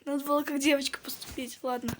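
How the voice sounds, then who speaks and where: A hoarse woman chatting casually as she plays a video game for her channel, exclaiming when things go wrong. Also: high-pitched, level tone, fast talker, very noisy. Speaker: gaming channel host.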